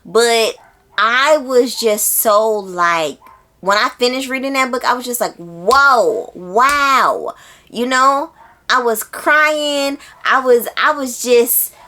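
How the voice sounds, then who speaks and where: A woman book vlogger talking casually and informally to viewers, using slang and repeating words for emphasis in an expressive way. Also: a little high, takes it slowly.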